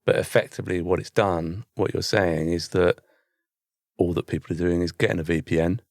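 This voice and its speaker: A man's voice: low-pitched, fairly even intonation, fairly quick, vocal fry, a little mellow, no echo, clean studio sound. A man with a British accent, co-hosting a podcast in casual conversation. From United Kingdom. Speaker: podcast co-host. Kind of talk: casual chat.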